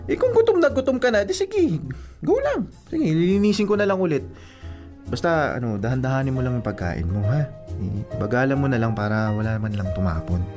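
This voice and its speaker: A man's voice: medium pitch, big pitch swings, a little fast, darkish tone, faint music behind, close to an everyday voice. A man with a Filipino accent playing a placating, evasive young boyfriend in a radio drama, hesitant and apologetic. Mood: nervous.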